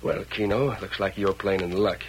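A low-voiced, sly cowboy villain. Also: male voice, little pitch movement, normal speed. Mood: scheming. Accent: American Western. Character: sly, scheming cowboy villain, needling and bullying. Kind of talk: old-time radio western acting. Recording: noisy vintage radio recording.

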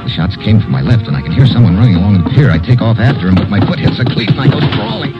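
An actor playing a tough, wisecracking investigator in an old-time radio drama, clipped and sardonic. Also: male voice, on the low side, big pitch swings, racing, loud music behind the voice. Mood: tense, urgent. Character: tough, wisecracking male investigator narrating his case. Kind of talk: hard-boiled old-time radio narration and acting. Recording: dull old radio recording.

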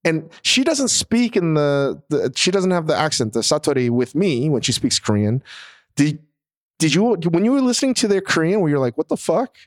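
Rambling podcast co-host, swearing freely. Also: male voice, a little high, big pitch swings, normal speed. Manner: rambling, swears often, many restarts. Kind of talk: casual chat about a TV show.

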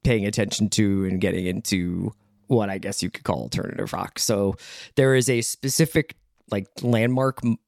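A man's voice: on the low side, normal speed, creaky, no echo, studio quality. A man in his forties from the Philadelphia area, talking in a loose, rambling way on a podcast. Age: 40s. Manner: relaxed, rambling with many likes. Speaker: podcast co-host. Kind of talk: casual podcast chat.

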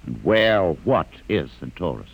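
An actor playing a calm, educated male mutant leader in a 1950s radio drama, measured and quietly ironic. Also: sits low, creaky, breathy edge, full character voice. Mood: calm, wry. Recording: old radio drama with long reverb.

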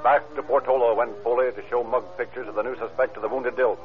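An actor as the formal, dramatic narrator of an old radio crime serial. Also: male voice, mid-range voice, little pitch movement, quick pace, natural voice. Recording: narrow, dull vintage radio recording. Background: music.

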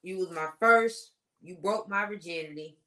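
A woman in her forties co-hosting a casual relationship talk show, explaining her points slowly and laughing often. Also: on the low side, bright, forceful tone. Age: 40s. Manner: slow, deliberate, laughing storyteller. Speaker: podcast co-host.

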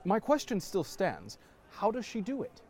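A man's voice: high-pitched, huge pitch swings, moderate pace, a little mellow, heavily acted. A man playing a pompous, fussy swordsman in a fantasy audio drama, speaking in a formal way. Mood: curious, stubborn.

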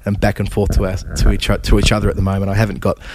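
A man, hoarse and raspy from a cold, hosting a casual cricket chat full of scores and restarts. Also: fairly low pitch, flat delivery, racing, a little mellow, no echo. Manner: stat-heavy, with frequent fillers and restarts. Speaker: cricket podcast host.

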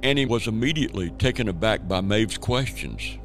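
Low-voiced man narrating a radio play. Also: lively intonation, a little fast, fairly bright tone, soft background music.

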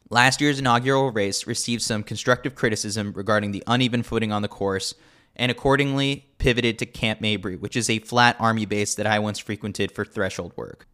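A man's voice: fairly low pitch, fairly bright tone. A man reading a scripted sports newsletter as a podcast host, with a wry, tongue-in-cheek manner.

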